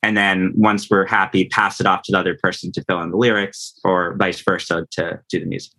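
A man talking casually as a podcast guest, animated and self-deprecating. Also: deep voice, brisk, gravelly. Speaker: podcast guest, composer and audio producer. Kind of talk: casual interview conversation.

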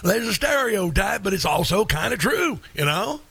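Animated, high-voiced talk radio host man. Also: moderate pace, creaky, slightly rough, no room sound. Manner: animated, indignant, scoffing. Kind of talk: opinionated talk radio monologue.

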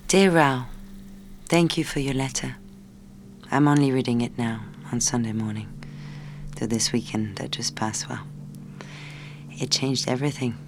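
An actress playing a young fiancée, wounded and sardonic in an understated audio drama. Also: female voice, extremely low pitch, steady tone, measured pace, pauses often, a little hiss or hum. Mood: intimate, bitter. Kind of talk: naturalistic audio drama acting and intimate narration.

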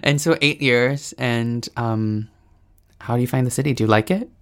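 A woman hosting a casual interview, encouraging her guest warmly and saying "like" often. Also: extremely low pitch, normal speed. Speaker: host, musical writer.

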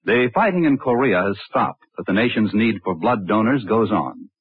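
A man's voice: fairly low pitch, lively intonation, moderate pace, husky, no room sound. A man announcing in 1950s radio style, formal and earnest. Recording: muffled, phone-like vintage radio recording.

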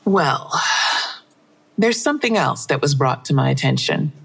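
An actress playing a brisk, abrasive female police detective in an audio drama. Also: deep voice, very animated, vocal fry, husky, heavily acted. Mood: brisk, confiding. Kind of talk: full-cast audio drama acting.

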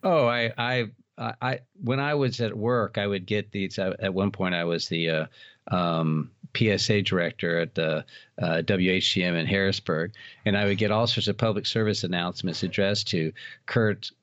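A man's voice: sits low, moderate pace, a bit bright, no echo, highs cut off. A man speaking as a podcast guest, reminiscing casually in a good-humored way. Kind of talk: casual reminiscing interview chat.

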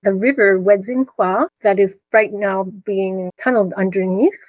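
A woman in her sixties from Canada whose first language is French, calmly explaining as an interview guest. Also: medium pitch, normal speed, dry recording, narrow, tinny audio. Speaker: guest, retired speech pathologist. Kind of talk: interview answers.

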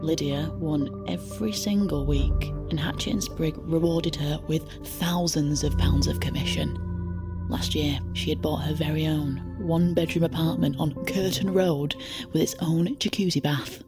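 A woman's voice: low voice, steady tone, moderate pace, music in the background, close to an everyday voice. A woman as the composed, intimate narrator of a scripted audio fiction story. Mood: savouring.

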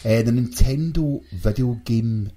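A man's voice: mid-range voice, a little slow, a loud crowd. A Glaswegian man hosting a casual pop culture podcast with jokey banter. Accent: Glaswegian Scottish. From Glasgow, Scotland. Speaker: podcast host.

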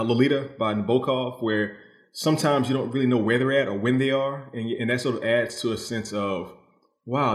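Echoey room, guy from new orleans as podcast guest, medium voice, measured n reflective, explaining his writing. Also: mid-range voice. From New Orleans, United States. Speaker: guest novelist. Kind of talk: reflective interview conversation. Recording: roomy, echoing sound.

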